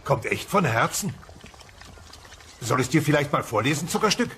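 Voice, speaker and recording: A man's voice: mid-range voice, very animated, normal speed, background noise, lightly acted. A man playing a blustering, clueless dinosaur husband and father in a puppet sitcom dub, defensive and wheedling. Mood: wheedling, sheepish. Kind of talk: dubbed puppet sitcom dialogue.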